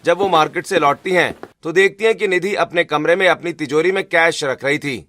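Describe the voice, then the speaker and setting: A man's voice: on the high side, brisk, fairly bright tone, no room sound. A man reading scripted narration for a cartoon family story.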